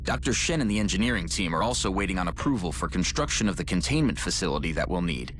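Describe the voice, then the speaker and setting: A man playing a firm, dutiful military operations officer, brisk and businesslike, in a video game. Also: low-pitched, lively intonation, a bit bright, a little hiss or hum. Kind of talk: scripted video game dialogue.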